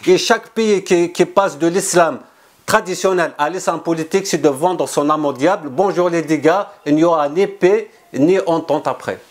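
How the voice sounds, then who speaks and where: Man, higher voice, interview guest, earnest, insistent, restarts and repeats words. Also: moderate pace. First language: not French. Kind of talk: explanatory interview answers.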